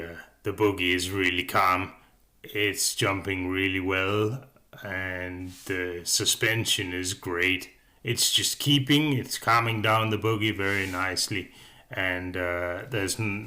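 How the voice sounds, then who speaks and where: A man's voice: on the low side, bright, forceful tone. A man giving a casual, unscripted hobby review commentary, with hesitant, rambling phrasing.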